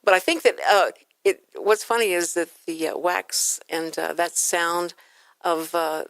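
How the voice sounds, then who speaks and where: A woman's voice: fairly low pitch, a little slow, no room sound. A woman with an American accent hosting a chatty radio interview, rambling informally and acting out quotes as she tells stories. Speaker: radio show host.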